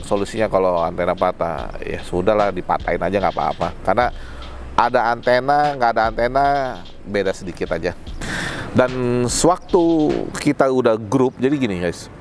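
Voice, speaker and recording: A man giving a casual product demonstration, explaining things colloquially and speaking directly to viewers. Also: fairly low pitch. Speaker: product presenter.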